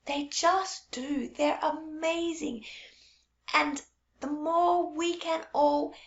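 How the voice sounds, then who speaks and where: A middle-aged woman with a high voice talks to camera as a teacher of small children. She tells her stories in a warm, reassuring way. The sound is roomy and a little muffled. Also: fairly even intonation, slow. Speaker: early childhood French teacher. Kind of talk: casual direct-to-camera talk. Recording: echoey room, dull lo-fi sound.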